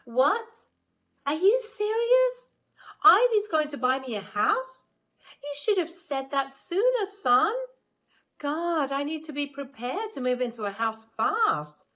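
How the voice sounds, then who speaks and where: A woman's voice: mid-range voice, sing-song, a little slow, a bit bright. A woman voicing a greedy, spiteful middle-aged mother-in-law who insults her daughter-in-law. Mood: excited. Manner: sneering, insulting.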